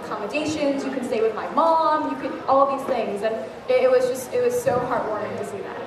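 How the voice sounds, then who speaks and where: A woman's voice: a little high, fairly quick, crowd noise. A young woman from Thailand speaking on a festival panel about travel, earnest and storytelling. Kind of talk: panel discussion. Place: large hall with a live audience. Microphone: handheld mic. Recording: echoey hall recorded from far off.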